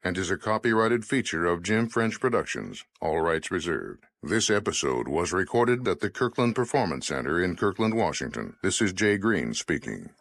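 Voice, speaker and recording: Low-voiced male radio announcer. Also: expressive, moderate pace, creaky, hardly any pauses, a bit bright, dry recording. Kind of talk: radio drama credits announcement.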